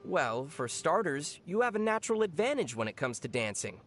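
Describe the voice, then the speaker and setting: A young adult actor playing a calm, gently teasing traveler hero in scripted video game dialogue. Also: mid-range voice, fairly quick, background noise, lightly acted. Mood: calm, informative.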